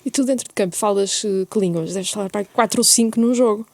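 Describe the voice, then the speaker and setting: A woman's voice: mid-range voice, fast, darkish tone, no echo. A woman with a European Portuguese accent hosting a podcast interview, asking friendly, teasing questions in a casual way. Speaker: podcast host.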